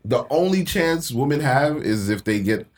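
A man's voice: medium pitch, animated, moderate pace. A man co-hosting a podcast in a casual, joking chat, laughing often and swearing freely. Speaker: podcast co-host.

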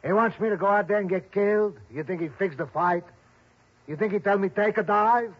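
An actor playing a hot-headed, easily rattled prizefighter in a 1960s radio drama, with rough, blustering streetwise talk. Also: male voice, high-pitched, fairly quick. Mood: scornful, defiant. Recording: dull old radio recording, faint noise.

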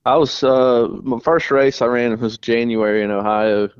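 Man, young adult, racing guest, relaxed halting talk, dry and slightly muffled sound. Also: medium pitch, clear, resonant tone, no room sound. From North Carolina. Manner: relaxed, halting, many restarts. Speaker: race car driver guest. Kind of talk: casual interview answers.

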